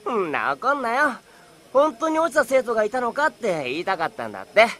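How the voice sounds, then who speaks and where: A woman's voice: a little high, huge pitch swings, unhurried, fairly bright tone, full character voice. A woman voicing a cheeky, playful younger schoolboy in a Japanese audio drama, casual and teasing. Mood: cheerful, playful.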